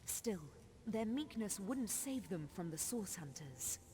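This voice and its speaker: An actress playing a proud, sorrowful forest witch in a fantasy video game, telling her tale in measured, formal speech. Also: mid-range voice, very animated, mellow, husky, heavily acted. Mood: proud, solemn. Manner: measured, solemn, formal storytelling. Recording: long reverb on game dialogue.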